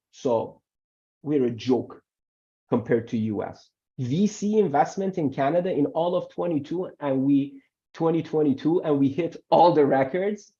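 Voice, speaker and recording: A man whose first language is Farsi, working as an immigration lawyer, gives animated webinar answers and often repeats words for emphasis. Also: on the high side. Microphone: video call. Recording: muffled video call audio.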